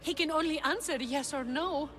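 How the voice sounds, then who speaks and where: An actress playing a young, fiercely loyal priest's companion in a video game, defensive and impassioned. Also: female voice, high-pitched, moderate pace, a bit bright, faint music behind. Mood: protective.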